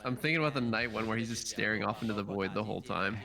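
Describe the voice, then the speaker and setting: Fast-talking man teasing his co-host. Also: lowish voice, creaky, crowd noise. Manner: teasing, quick comebacks. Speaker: co-streamer. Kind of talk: casual banter on a co-stream.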